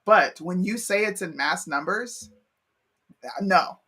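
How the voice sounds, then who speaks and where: Co-host, from Pennsylvania, blunt, profane, emphatic, bright voice, mid pitch. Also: takes it slowly, husky. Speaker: show co-host. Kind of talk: casual debate chat.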